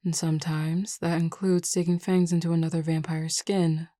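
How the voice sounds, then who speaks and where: Woman acting a composed, low-voiced pack beta. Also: flat delivery, dark tone, no echo, clean studio sound, close to an everyday voice. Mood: composed, explanatory. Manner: measured, explanatory, composed. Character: confident, reassuring adult female werewolf pack beta. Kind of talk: scripted audio roleplay.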